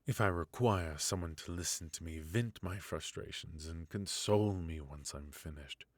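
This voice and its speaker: Man acting as a resentful prince-like royal mentor, very low voice, wry formal phrasing, close dry sound, fantasy ASMR roleplay. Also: no echo. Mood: imperious, aggrieved. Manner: formal, measured, wry, with musing hums and soft chuckles. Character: forgotten adult male royal mentor, resentful, haughty yet indulgent.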